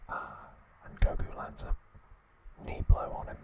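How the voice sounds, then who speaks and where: A man narrating an episode recap in an ASMR style, in a breathy, unvoiced whisper with deliberate pauses between short phrases.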